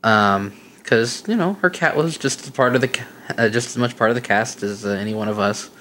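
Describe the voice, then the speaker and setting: Podcast co-host, male, mid-pitched, chatty, reads emails with laughs, faint hiss. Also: fairly quick, a bit bright. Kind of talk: casual chat and reading listener emails.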